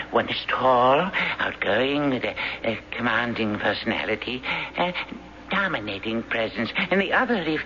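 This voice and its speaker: Hunted eccentric, bright, urgent voice with wide swings, slyly persuasive, old-time radio drama. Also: male voice, mid-range voice. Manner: theatrical, halting, insistent. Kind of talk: 1970s radio drama acting. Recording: dull, noisy old radio broadcast.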